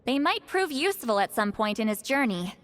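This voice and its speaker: High-voiced, sassy young spirit seer. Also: female voice, brisk. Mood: confident, explaining. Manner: brash, teasing, expressive. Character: sassy, proud young female spirit seer, secretly flustered. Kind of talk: animated video game cutscene acting. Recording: long echoing reverb, music underneath.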